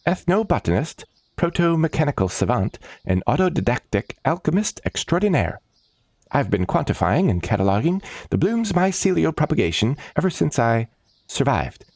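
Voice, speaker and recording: A man voicing a wiry, excitable, eccentric woman scientist and alchemist in a fantasy story, speaking in a pompous, wordy, energetic manner. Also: fairly high pitch, moderate pace, no echo, voice pushed up. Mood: excited, eager. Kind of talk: scripted fantasy storytelling character voice.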